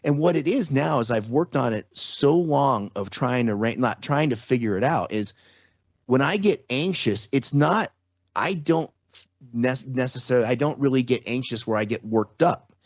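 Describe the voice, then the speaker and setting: A man's voice: medium pitch, expressive, normal speed, no echo, dull audio. A man talking casually as an interview guest, explaining things with repeated, restarted phrases and frequent rhetorical check-ins. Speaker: podcast guest, trauma survivor and former security contractor.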